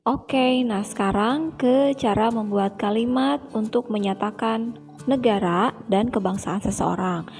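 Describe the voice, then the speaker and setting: A young woman teaching English to primary school children, slowly and carefully repeating each example sentence. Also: on the high side. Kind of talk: teaching English in a video lesson. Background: background music.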